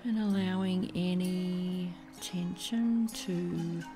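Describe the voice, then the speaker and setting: Woman doing a guided meditation, really slow n gentle, soft mellow voice, faint music behind her. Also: fairly low pitch, steady tone. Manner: soothing, gentle, unhurried, with pauses between phrases. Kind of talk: guided body scan meditation.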